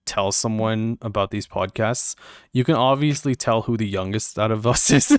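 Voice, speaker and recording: A young man with a fairly low voice hosts a casual online podcast. He talks loosely and fills his sentences with "like" and "um," and he is quick to laugh. The sound is dry and close, with almost no room around it. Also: clean tone, dry recording. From Canada. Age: young adult. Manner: relaxed, filler-heavy, laughs easily. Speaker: podcast host. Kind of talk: casual chat.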